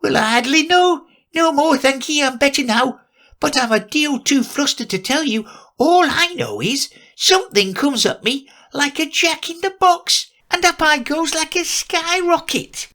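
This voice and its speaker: Squeaky feeble little lizard guy, all flustered and breathless, voice jumping around a lot in a storybook reading. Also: expressive, unhurried, pushed and bright, full character voice.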